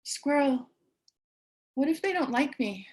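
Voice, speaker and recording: Actress as high-voiced, anxious last woman on earth. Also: expressive, slow. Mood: disappointed. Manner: dramatic, neurotic, rambling. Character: lonely, anxious, chatty woman surviving the apocalypse. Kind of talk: staged reading of a theatrical fable.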